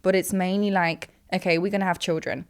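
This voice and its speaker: A young woman from Poland talks as a guest in a relaxed interview. Her voice sits a little low and sounds smooth and resonant. She rambles along with plenty of fillers. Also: fairly quick. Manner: chatty, rambling, with frequent fillers and laughs. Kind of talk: casual interview chat.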